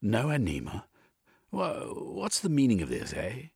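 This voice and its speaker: A man voicing an anxious, overwrought gentleman in an audiobook, with a dramatic, exclamatory way of talking. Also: medium pitch, wildly swooping pitch, measured pace, gravelly, breathy edge, no echo, clean studio sound, pitched above the performer's own voice, exaggerated voice. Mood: indignant. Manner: dramatic, exclamatory, with trailing 'eh?'. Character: Velvin, anxious, overwrought gentleman confessing his dreams. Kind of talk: audiobook character acting.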